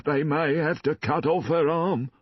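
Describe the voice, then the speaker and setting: A man's voice: a little high, unhurried, no room sound, pitched above the performer's own voice. A man voicing a fawning, scheming poor man who pleads and whines. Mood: pleading. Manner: whining, pleading. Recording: dull dry lo-fi.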